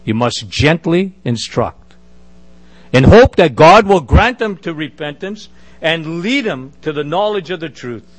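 A middle-aged man with a big booming voice preaching a sermon, emphatic with conversational asides. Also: expressive, a little slow.

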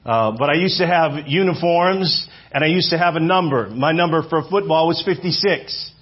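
A man's voice: fairly high pitch, moderate pace, husky. A man in his fifties preaching to a church audience, talking conversationally and asking the room questions. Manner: conversational, repeats phrases, asks the audience questions. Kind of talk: sermon. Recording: dull lo-fi sermon audio.

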